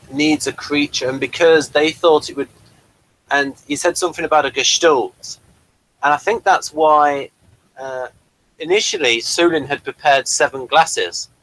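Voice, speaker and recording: Halting man hosting a review chat. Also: a little high, a bit bright, poor audio. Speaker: review show host. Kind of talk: casual TV review discussion.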